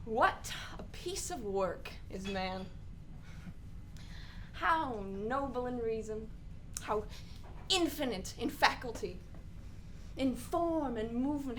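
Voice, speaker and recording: A restless, melancholy young prince feigning madness in a Shakespearean radio play. The delivery is high and bright, slow and deliberate, with an exaggerated theatrical edge. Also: female voice, slight background noise, poor audio. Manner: exaggerated, punctuated with giggling.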